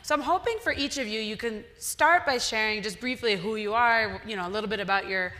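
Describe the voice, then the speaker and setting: A woman moderates a panel in a bright, slightly high voice. She lays out the topics and introduces each panelist in an organized way. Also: fairly quick.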